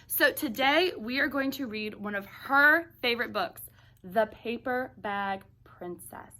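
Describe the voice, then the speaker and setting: A woman's voice: on the high side, measured pace. A woman hosting a children's read-aloud, reading expressively to young children and adding chatty asides. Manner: addresses young children, animated.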